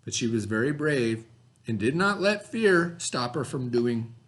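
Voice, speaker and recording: A man reading aloud as a teacher, in an expressive, instructive way, with questions and simple explanations for pupils. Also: medium pitch, unhurried.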